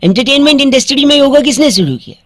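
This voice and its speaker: A cheeky young prank caller, played by a man, ribs strangers with puns and mock seriousness. The voice sits at an ordinary pitch and sounds dry and close to the mic. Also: expressive, fast, no echo. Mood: teasing. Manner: teasing, playful, wordplay jokes. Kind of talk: radio prank call.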